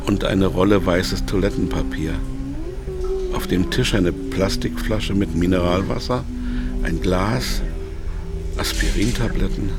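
A captive businessman in a radio drama, his voice on the low side. He mutters anxious thoughts in short, broken phrases. Music plays clearly behind him. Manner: terse, fragmented phrases. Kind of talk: radio drama inner monologue and narration.

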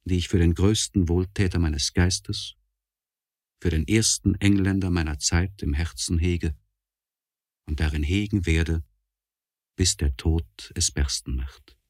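A low, husky voice of an anguished, courtly poet. He declaims a confessional letter solemnly, in measured phrases with weighty pauses. The style is that of a 1960s German radio play monologue. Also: fairly even intonation, darkish tone. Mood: grave, reverent. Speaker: actor reading a literary letter.

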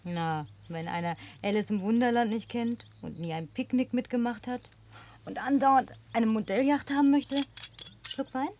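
A woman's voice: a little high, normal speed, a little mellow, a little hiss or hum, lightly acted. An actress dubbing a lonely, wealthy city woman in a German film, talking in a playful, coaxing way. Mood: teasing. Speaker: Linda, survivor in a German film dub.

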